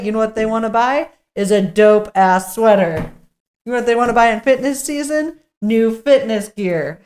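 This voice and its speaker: A woman's voice: mid-range voice, darkish tone. Casting: woman podcast co-host with a mid-range voice, casual and blunt, happy to swear while talking shop. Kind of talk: casual chat at a podcast script read-through.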